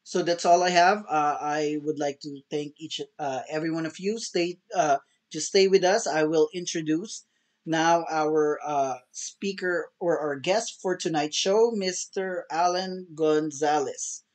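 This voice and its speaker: Higher-pitched man hosting a show. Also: steady tone, a little slow. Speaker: show host. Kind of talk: semi-formal online show hosting.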